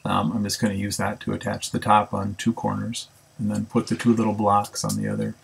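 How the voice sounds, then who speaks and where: A man's voice: lowish voice, completely flat delivery, fairly quick, creaky, a little hiss or hum. A man casually narrating a woodworking build, thinking aloud with ums and self-corrections. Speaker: woodworking instructor. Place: home woodshop.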